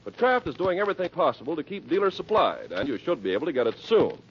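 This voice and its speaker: A man's voice: a little high, sing-song, fast, husky. A man announcing in the scripted style of a 1940s American radio show. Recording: dull vintage radio recording.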